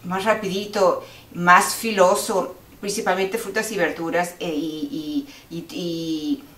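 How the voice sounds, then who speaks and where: A woman's voice: fairly low pitch, slow. Chatty woman in an echoey home kitchen. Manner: chatty, lively, lots of hand demonstrations. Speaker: cooking teacher giving tips. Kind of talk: casual how-to video. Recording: roomy, a bit far from the mic, faint hiss.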